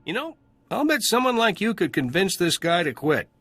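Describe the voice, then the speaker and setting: Breezy cynical junk trader from a video game, bright highish male voice, chatty and wry. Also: very animated, normal speed, dry recording, heavily acted. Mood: wry, scheming. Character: breezy, cynical male human salvage dealer. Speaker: salvage trader character.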